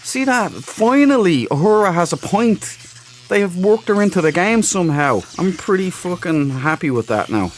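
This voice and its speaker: A man's voice: high-pitched, expressive, moderate pace, a little hiss or hum. A man hosting a casual let's play, sweary and exclamatory as he talks to himself. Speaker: gaming channel host. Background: game music.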